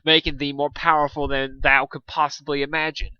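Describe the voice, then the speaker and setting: A haughty dark pony princess from a storybook, as a male reader puts her on. She speaks in grand, stretched archaic phrases with a bright, forceful edge. The sound is dry and a little dull. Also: a little high, little pitch movement, smooth, no echo, voice pushed up. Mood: grand, tempting. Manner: pompous, archaic phrasing, drawn-out delivery.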